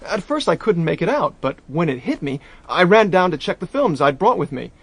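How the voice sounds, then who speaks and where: A brash young diplomat. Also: male voice, fairly high pitch, a little fast, breathy edge, dry recording, full character voice. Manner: brash, slangy, excitable. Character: young American man, brash diplomat nephew. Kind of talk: radio drama acting.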